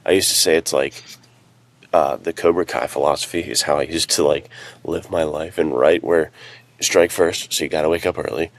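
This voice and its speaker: A man's voice: rumbling low, fairly even intonation, moderate pace, no echo. A man chatting casually as a podcast co-host and writer, giving practical advice in informal language.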